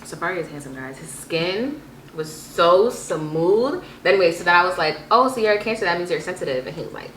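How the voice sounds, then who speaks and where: A woman in her twenties chatting casually and telling stories in a playful, animated, slangy way. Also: lowish voice, a little fast. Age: 20s. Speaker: vlog guest telling stories with sibling. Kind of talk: casual chat, storytelling. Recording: echoey room with background noise.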